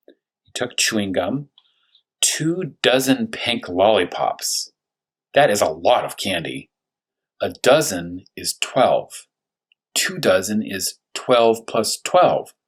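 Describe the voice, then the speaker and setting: A man with a low, husky voice speaks as a primary school teacher during a story read-aloud. He explains things simply for young children, wonders aloud in questions, and draws out important words for emphasis. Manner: thinks aloud with rhetorical questions. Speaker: first-grade teacher reading a story aloud.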